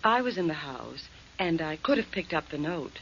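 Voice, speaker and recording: Une veuve calme et méfiante, radio des années 40, son terne. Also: female voice, sits low, moderate pace, husky, full character voice. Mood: guarded. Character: cool widow under police questioning. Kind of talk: 1940s radio acting. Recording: dull old radio broadcast.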